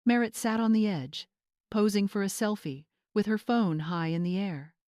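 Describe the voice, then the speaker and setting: A woman's voice: medium pitch, normal speed, darkish tone, no echo, clean studio sound. A woman reading scripted dramatic narration as a storyteller.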